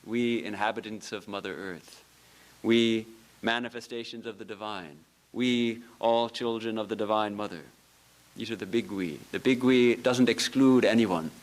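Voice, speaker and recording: A man, a monk of a religious order, giving a lecture in a temple, telling stories with soft laughs and earnest, repeated phrases. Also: fairly low pitch, rough recording. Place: temple hall.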